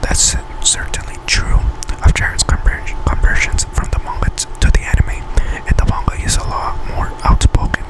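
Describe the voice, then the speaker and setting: A man whispers a list of facts in breathy, unvoiced ASMR style, often stumbling over and repeating his words as he reads. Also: poor audio. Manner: halting delivery, stumbles and repeats words while reading. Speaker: ASMR video creator and host.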